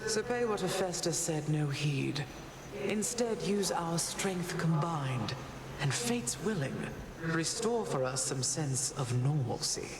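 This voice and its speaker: Slow, echoing, stern elder goddess. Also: female voice, deep voice, expressive, exaggerated voice. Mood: stern regal. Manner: imperious, measured. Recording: echoing hall, crowd-like din behind.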